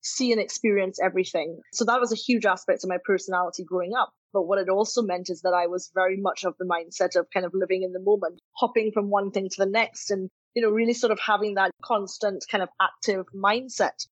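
A woman's voice: medium pitch, fairly even intonation, fast talker, few pauses, no room sound. A woman from Trinidad, a guest telling her personal story in a reflective, earnest way, often saying "you know" and "kind of". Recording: slightly dull, dry recording.

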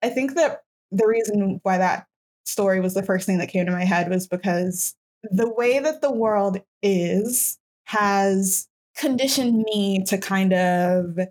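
A woman in her twenties, a podcast host, telling a personal story in a reflective, casual way with many fillers and restarts. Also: mid-range voice. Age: 20s.

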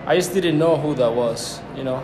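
A man's voice: on the high side, normal speed. Reflective young man, roomy noisy recording. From Cuba. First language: Spanish. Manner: reflective, searching for words. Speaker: guest. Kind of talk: casual conversation. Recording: roomy with background noise.

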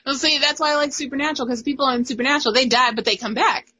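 A woman's voice: sits high, fairly even intonation, rapid-fire, very noisy. A young adult woman co-hosting, chatting casually with a playful, teasing manner and frequent laughter. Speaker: podcast co-host. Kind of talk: casual chat.

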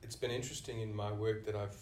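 A man's voice: fairly low pitch, flat delivery, quick pace, darkish tone, distant mic, a little hiss or hum. A man with a South African accent, a senior clinician answering interview questions thoughtfully, often restarting with "you know". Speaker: senior clinician, podcast guest. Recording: echoey room, voice off-mic.

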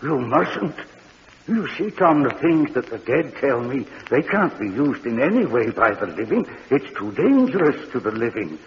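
An actor playing a kindly old morgue keeper who speaks with the dead, in a soft, earnest, patient manner, in 1940s radio drama style. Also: male voice, mid-range voice, sing-song, normal speed. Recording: dull old radio recording in a reverberant studio, faint hiss.

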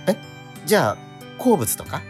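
A man plays a curious teenage boy, new at school, in a radio drama. He is polite and quick to apologise, with puzzled little interjections. His pitch moves about a lot, and music plays faintly behind him. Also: big pitch swings. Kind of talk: conversational radio drama acting.

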